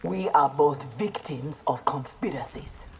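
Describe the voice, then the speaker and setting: A woman's voice: low-pitched, animated, unhurried, noisy background. A woman playing a proud, honorable armored ninja in a video game, speaking formally and with earnest resolve. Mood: resolute. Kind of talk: video game character dialogue.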